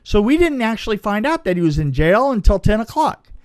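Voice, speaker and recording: A middle-aged man telling a casual anecdote in an emphatic, halting way, repeating phrases and checking in with the listener. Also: high voice, sing-song. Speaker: podcast guest, film crew veteran.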